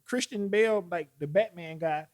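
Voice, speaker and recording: A man in his forties chatting animatedly with friends, arguing his point through examples and quick restarts. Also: sits high. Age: 40s. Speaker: podcast host. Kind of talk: casual chat.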